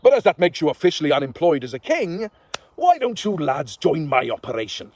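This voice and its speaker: A man voicing a sly, genial male underworld fairy lord, slow and knowing. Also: on the high side, big pitch swings, darkish tone, pitched above the performer's own voice.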